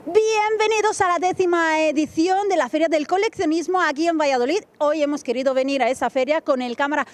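A woman's voice: high-pitched, brisk, few pauses. A young woman reporting playfully on location for local TV with a handheld mic. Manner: bubbly, teasing. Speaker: field reporter. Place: large indoor exhibition hall.

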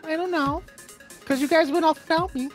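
A man bantering playfully with friends while gaming, excitable and full of exclamations. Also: very high-pitched, fairly quick, faint music behind. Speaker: gaming video co-player. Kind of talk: casual, playful gameplay banter.

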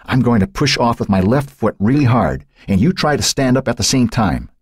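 A man's voice: medium pitch, animated, a little fast, breathy edge, dry recording, studio quality, voice pushed up. A man voicing a determined young boy who coaxes and insists, guiding a scared friend in a storybook reading. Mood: urging. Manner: urging, insistent.

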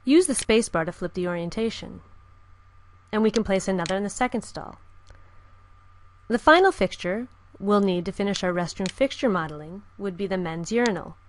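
A woman giving calm, scripted step-by-step narration for a software tutorial. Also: medium pitch, brisk, rough recording. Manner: calm, even, step-by-step instruction. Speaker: software instructor. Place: voice-over with screen recording.

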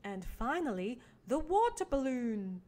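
Lady doing a kids read-along, slowish, kinda high voice, expressive storytime delivery. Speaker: teaching artist reading aloud.

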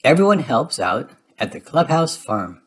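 A man reading a children's storybook aloud in expressive narration. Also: medium pitch, unhurried. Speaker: storybook reader.

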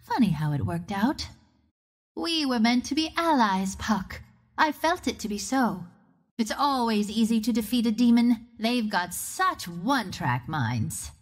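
Video game hero: a self-assured young woman archer of the wind, teasing and theatrical, with lively swooping intonation. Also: a little high, full character voice. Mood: cool, resolute. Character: confident, wry young female archer ranger of the wind.

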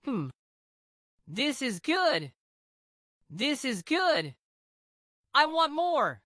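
A woman voicing an excitable, easily scared little boy in a children's story. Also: high-pitched, very slow, no room sound, studio quality, pitched above the performer's own voice, exaggerated voice. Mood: delighted.

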